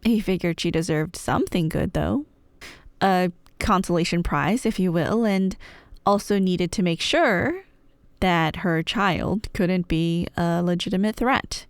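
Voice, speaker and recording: A woman's voice: on the low side, measured pace, smooth, no room sound, studio quality. A woman playing a chatty, nerdy girlfriend, rambling through a story in a wry, irreverent, slangy way. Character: chatty, playful, nerdy girlfriend telling myths. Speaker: audio roleplay performer playing a girlfriend. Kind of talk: casual rambling storytelling, scripted ASMR roleplay.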